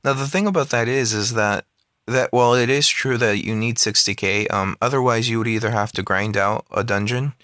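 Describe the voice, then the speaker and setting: A man's voice: mid-range voice, fairly even intonation, normal speed, a bit bright, dry recording, clean studio sound. A man giving casual commentary on a mobile game, rambling with many fillers and restarts, recorded on a phone.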